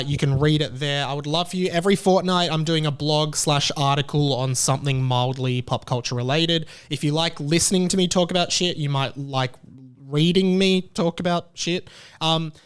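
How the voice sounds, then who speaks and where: Man, podcast host, rambling casual chat, fairly high voice, smooth clear tone. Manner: rambling, self-interrupting, many fillers.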